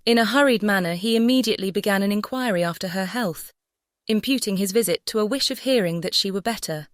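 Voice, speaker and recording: A woman's voice: medium pitch, quick pace, no room sound, studio quality. A woman narrating a classic novel as an audiobook, composed and carefully phrased. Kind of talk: scripted literary narration.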